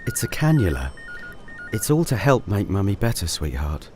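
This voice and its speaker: A man playing a weary, gentle father in an audio drama, speaking in a subdued way over faint noise. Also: lowish voice, very animated, moderate pace, slightly rough, faint music behind. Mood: tender.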